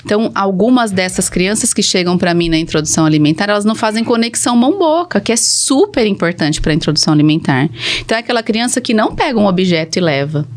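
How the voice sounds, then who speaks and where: A woman's voice: medium pitch, lively intonation, fast talker. A woman with a Brazilian accent explaining her work as a speech therapist in conversation, posing questions and then answering them. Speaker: speech therapist, podcast guest.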